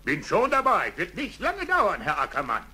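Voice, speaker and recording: An actor playing a harried, wheedling wild animal dealer, eager and flustered, in a cartoon dub. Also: male voice, high voice, huge pitch swings, moderate pace, noisy background. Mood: eager, placating. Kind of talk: German-dubbed cartoon dialogue.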